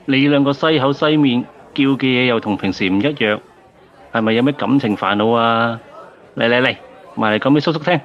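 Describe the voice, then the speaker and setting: An actor playing a cheeky, flippant self-styled uncle in a Cantonese radio drama, with a Hong Kong accent. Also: male voice, mid-range voice, a little fast, dull audio, some chatter behind, lightly acted. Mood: cheeky, teasing. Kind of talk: radio drama acting, bar banter.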